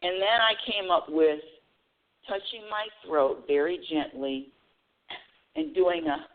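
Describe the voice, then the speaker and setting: A woman's voice: lowish voice, animated, slow. A woman hosting a spiritual call-in radio show, talking earnestly and often restarting her phrases. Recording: tinny phone-line audio.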